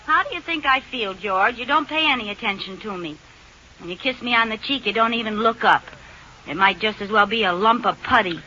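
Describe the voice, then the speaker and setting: A scatterbrained American housewife in an old radio comedy. Her voice is high and very sharp, swinging theatrically between demanding and timid. The recording is dull, with some hiss. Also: fairly quick. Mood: indignant. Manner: theatrical, exasperated, pleading. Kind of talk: 1940s radio comedy acting.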